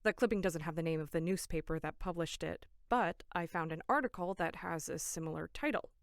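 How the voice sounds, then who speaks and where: A woman's voice: fairly low pitch, a little fast, gravelly, no echo, clean studio sound. A woman acting in an audio drama as a level-headed young camp counselor, sincere, polite and matter-of-fact. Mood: helpful.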